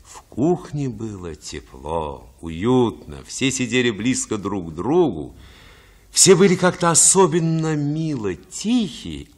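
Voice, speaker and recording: A man's voice: medium pitch, exaggerated voice. An actor playing a reflective man who narrates memories of his boyhood in a radio play.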